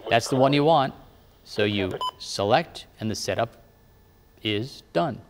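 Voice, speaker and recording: A man working as a TV meteorologist, calmly explaining a device one step at a time. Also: mid-range voice, unhurried, long reverb tail, a little hiss or hum. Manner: plain, patient instructive talk. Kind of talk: step-by-step demonstration. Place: TV studio weather set.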